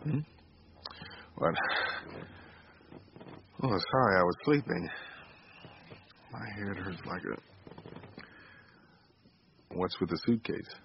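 A man's voice: deep voice, lively intonation, slow pace, pauses often, full character voice. An actor playing a desperate, broken man in an audio drama, pleading and stammering. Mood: groggy dismay. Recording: dull, hissy lo-fi radio recording.

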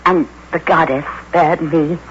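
A woman's voice: low voice, fairly even intonation, measured pace. A fearful, shaken wife in an old-time radio mystery. She speaks with grave drama on a muffled recording with faint noise behind her.

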